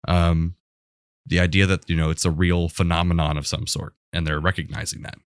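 A man's voice: deep voice, flat delivery, fairly quick, no room sound. An American man co-hosting a podcast, talking casually and telling things in an informal, rambling way. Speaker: podcast co-host.

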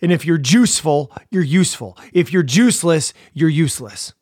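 A man's voice: a little high, expressive, moderate pace, darkish tone, breathy edge, no echo, clean studio sound. A man giving a motivational talk as a mental performance coach, with a punchy, emphatic delivery full of slogans.

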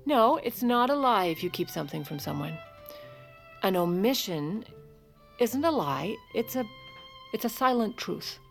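A woman's voice: mid-range voice, very animated, a little slow, vocal fry, long pauses, faint music behind. Wry, scheming First Lady. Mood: anxious scheming. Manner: dry, sardonic, conspiratorial. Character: wry, shrewd First Lady secretly steering the presidency. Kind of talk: audio drama acting.

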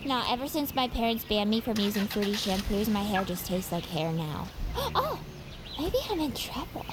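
A woman's voice: mid-range voice, very animated, moderate pace, noisy background, lightly acted. A woman with an American accent playing an anxious, chatty, quirky know-it-all teenage girl in an audio drama. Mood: anxious rambling.

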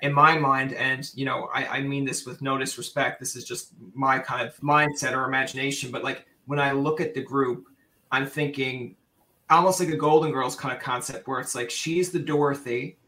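A man's voice: mid-range voice, steady tone, moderate pace, sharp tone. A man from Newfoundland hosting a casual interview, chatty and rambling with frequent restarts. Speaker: podcast host.

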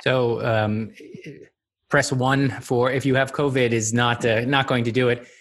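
A man's voice: mid-range voice, steady tone, a little slow, fairly bright tone. A man hosting a podcast interview, asking questions conversationally in a hesitant, roundabout way.

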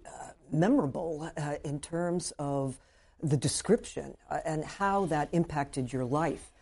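Low, mellow-voiced woman interviewer. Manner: measured, halting with fillers. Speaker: interviewer, host. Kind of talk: interview questions.

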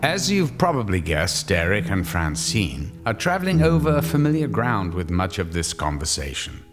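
A knowing male narrator of a suspense radio play. His fairly low voice moves expressively as he sets out the tale with measured foreboding, over faint music. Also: big pitch swings.

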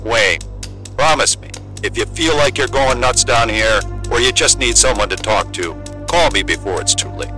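A man's voice: medium pitch, animated, normal speed, pushed and bright, faint music behind. A man playing a sceptical, worried friend in a drama trailer, blunt, colloquial and urgent.

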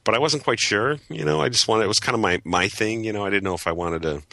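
A man in his forties from Chicago, a photographer guest telling his story in an animated way with many fillers. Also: on the low side, fast talker, vocal fry, no room sound. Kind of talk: interview answers.

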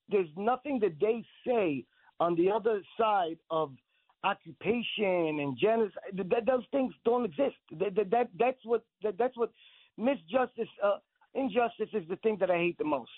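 A man's voice: sits high, dry recording. A man from Israel, a guest on a radio interview, speaking earnestly and insistently and repeating words as he presses his points. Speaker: guest, actor and director. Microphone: phone. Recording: tinny phone line.

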